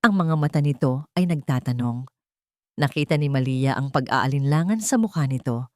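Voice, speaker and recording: Woman, Filipino accent, low voice, dramatic story narration, dry close recording. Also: animated, normal speed, darkish tone, dry recording. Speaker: narrator of an online love story.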